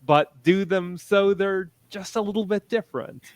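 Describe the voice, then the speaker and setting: A man speaking as a convention panelist, explaining things haltingly and often pausing mid-sentence in a discussion. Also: sits high, clear, resonant tone, no echo. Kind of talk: panel discussion of audio dramas.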